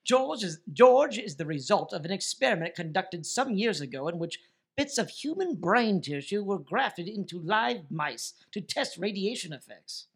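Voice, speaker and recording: An actor playing a flustered, earnest lab man in an improvised comedy radio play, speaking haltingly and coaxingly with a husky voice. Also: high voice, very animated, heavily acted. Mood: formal, earnest. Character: flustered, soothing lab man presenting his mouse friend.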